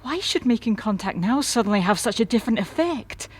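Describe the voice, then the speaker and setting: A woman acting as a practical household employee in an audio drama. Her voice is high, caring and ready with questions, over a music bed. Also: lively intonation, a little fast, husky. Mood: questioning.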